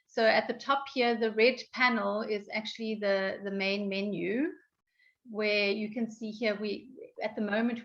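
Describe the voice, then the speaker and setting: A woman giving an online software training, explaining with frequent ums, her voice going croaky. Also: medium pitch. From South Africa. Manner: explanatory, many ums and restarts. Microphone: video call. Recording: dull lo-fi online call audio.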